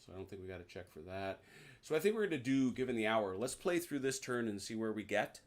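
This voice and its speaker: Low-voiced man explaining game rules. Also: expressive, fast. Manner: chatty, explains rules with many restarts and "uh"s. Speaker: host teaching a tabletop wargame. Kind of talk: casual rules explanation over a video call.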